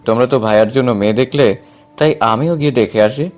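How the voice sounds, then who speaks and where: A man's voice: medium pitch, quick pace, soft background music, natural voice. A man playing a cheeky but caring young man, the younger son of the family, in an audio story. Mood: casual. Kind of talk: Bengali audio story acting.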